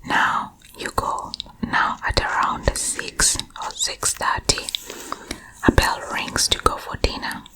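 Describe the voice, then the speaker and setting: A woman's voice: takes it slowly, poor audio. A woman from Uganda telling personal stories in a conversational, rambling ASMR style. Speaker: storyteller recalling her own school days. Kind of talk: whispered ASMR storytime.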